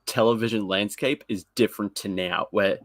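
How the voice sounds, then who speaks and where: A man with a fairly low voice hosts a casual fan stream. He talks in a joking, wry way, and the sound is very dry with no room on it. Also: moderate pace, dry recording. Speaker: host of a weekly fan live stream. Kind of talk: casual chat.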